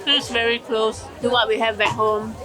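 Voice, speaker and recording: A young woman with a Singaporean accent, chatting casually as a travel vlogger while tasting street food, eager and quick to laugh. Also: a little high, level tone, crowd noise. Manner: chatty, enthusiastic tasting remarks with frequent laughs. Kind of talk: casual food vlog commentary. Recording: music bed over the voice.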